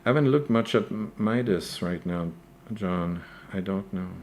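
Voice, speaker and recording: A man's voice: lowish voice, a little slow, slight background noise. A man with a French accent, a native French speaker, hosting a live crypto news stream. He answers viewer questions in a hesitant way, with many fillers and a repeated "okay?". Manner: hesitant, frequent "uh" and tag "okay?".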